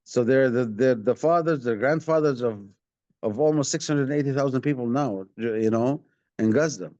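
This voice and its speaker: A Palestinian man with an Arabic accent, speaking as an activist in a live online question session, halting and often repeating his words. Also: medium pitch, darkish tone, no room sound. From Palestinian West Bank. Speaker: activist, director of a community rights organisation. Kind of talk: live online talk answering audience questions. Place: online video call. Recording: dull, lo-fi online stream sound.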